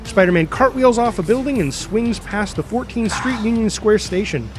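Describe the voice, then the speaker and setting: A man's voice: high-pitched, expressive, moderate pace, over music. A man reading scripted screenplay narration and stage directions dramatically, voicing the sound effects himself.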